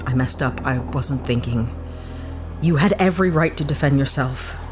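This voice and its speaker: An actress playing a sarcastic young heroine in an audio drama. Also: female voice, a bass voice, moderate pace. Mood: earnest. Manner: sarcastic, exasperated. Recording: studio drama with music bed.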